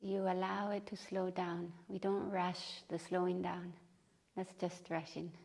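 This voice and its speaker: Low, even-toned woman guiding meditation. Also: creaky. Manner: gentle, unhurried, with long pauses. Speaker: meditation and qigong teacher. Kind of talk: guided meditation. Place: room at a retreat.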